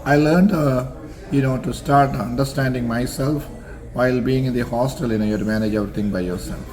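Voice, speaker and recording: An older man from Singapore, answering interview questions about his college years in a measured, formal way with frequent pauses and fillers. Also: medium pitch, darkish tone, poor audio. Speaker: interview guest, alumnus.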